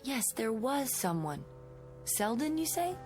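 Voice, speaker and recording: A guarded schoolteacher in a vintage television drama. Her speech is polite and halting, with a troubled undertone. Music is clearly heard behind her. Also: on the high side, sing-song, darkish tone. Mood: troubled, hesitant. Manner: hesitant, halting, courteous. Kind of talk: mid-century American TV drama acting.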